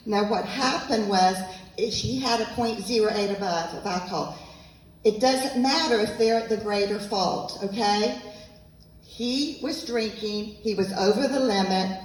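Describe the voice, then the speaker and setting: A woman, giving an earnest, emphatic talk to an audience as an author at a local event. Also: mid-range voice. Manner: earnest, emphatic, repeats points. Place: event room, echoey. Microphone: handheld microphone, at times off mic. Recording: echoey room from far off, faint noise.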